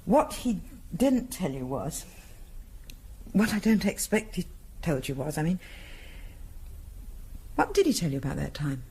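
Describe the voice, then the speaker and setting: An actress playing a tense, suspicious wife in a 1970s radio drama, pointed and insistent, repeating herself. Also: female voice, fairly low pitch, very animated, unhurried, pauses often, warm tone, a little hiss or hum. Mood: agitated, insistent.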